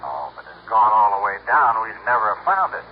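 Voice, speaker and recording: A man's voice: on the low side, big pitch swings, fairly quick. A folksy American police sergeant in an old radio mystery. He rambles on with stubborn emphasis. The sound is muffled, with a faint hiss behind it. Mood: reporting. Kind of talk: 1950s American radio drama acting. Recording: dull, hissy old radio recording.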